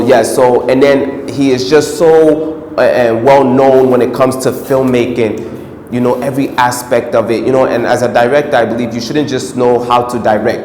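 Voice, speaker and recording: A man with an American accent, an actor answering interview questions in a relaxed, rambling way with lots of fillers. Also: medium pitch, roomy sound, big-room sound, slight background noise. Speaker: film actor.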